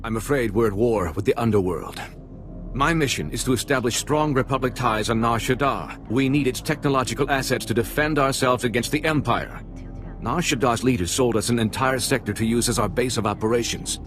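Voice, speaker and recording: An actor playing a formal, earnest and worried ambassador in a video game. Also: male voice, mid-range voice, a little fast, fairly bright tone, soft background music, close to an everyday voice. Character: troubled, formal male ambassador. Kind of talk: video game character dialogue.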